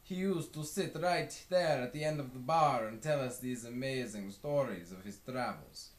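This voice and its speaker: A man voicing a middle-aged, folksy grain elevator owner who chats in a drawn-out storytelling way. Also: medium pitch, pitched above the performer's own voice, close to an everyday voice. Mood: wistful, fond. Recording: roomy, echoing home recording.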